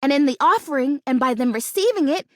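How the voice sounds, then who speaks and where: Game role: an earnest, optimistic young female houseplant, extremely high voice, lively intonation, gushing and chatty. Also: quick pace, no echo, studio quality. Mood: earnest, eager. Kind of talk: animated video game character acting.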